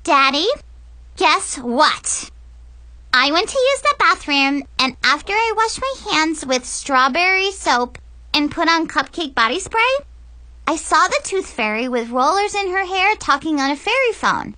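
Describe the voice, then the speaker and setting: A woman voicing a stubborn, bold little girl with a shrill, childish voice. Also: very animated, measured pace, sharp tone, no echo, exaggerated voice. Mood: chirpy.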